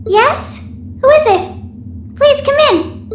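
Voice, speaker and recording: A woman's voice: extremely high pitch, animated, slow pace, a little hiss or hum, heavily acted. A woman playing a sweet, delicate young princess in a video game, with polite, formal, tender diction. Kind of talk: dramatic video game voice acting.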